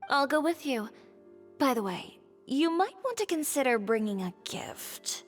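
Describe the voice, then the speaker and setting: A woman's voice: sits high, sing-song, measured pace, faint music behind. A woman playing a poised, confident boutique owner in an anime game, assured and matter-of-fact. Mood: encouraging, assured.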